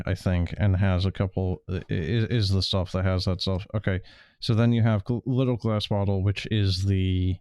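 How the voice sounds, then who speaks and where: A man with a fairly low voice comments casually on a game stream. He hesitates often, stammering and repeating himself as he works out his thoughts. The sound is close and dry, with little room in it. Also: a little mellow, smooth, no room sound. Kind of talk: casual stream commentary, sometimes reading text aloud.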